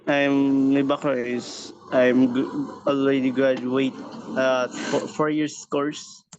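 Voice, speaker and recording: Slow, hesitant young Filipino man. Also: medium pitch, flat delivery. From Philippines. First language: Hiligaynon. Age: 20s. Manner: hesitant, halting English. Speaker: prospective student. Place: home beside a busy road. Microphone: video call. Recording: muffled call with traffic noise.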